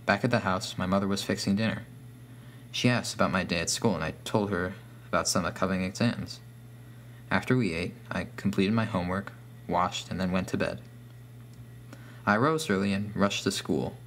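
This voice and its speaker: A man with a deep, low voice narrates a scripted horror tale as a storyteller. A faint hum sits under the recording. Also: moderate pace, pauses often, a bit bright.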